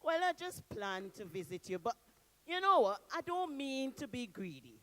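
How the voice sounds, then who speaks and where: A woman voicing a greedy trickster spider man who talks loud and pleading. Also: high-pitched, big pitch swings. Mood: wheedling. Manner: loud, fast-panicking, pleading.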